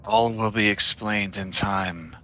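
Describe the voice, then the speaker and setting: A man voicing a calm, ancient talking magic gem spirit in a tabletop game, measured and steady. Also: lowish voice, highs cut off, full character voice.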